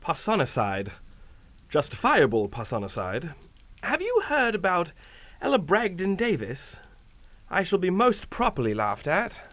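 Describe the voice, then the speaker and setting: Casting: breezy English captain-neighbour, highish voice, clipped hearty delivery, very animated, radio play. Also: male voice, unhurried, no room sound, exaggerated voice. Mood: wry. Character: bluff, chummy English captain, a nosy neighbour. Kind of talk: radio suspense drama acting.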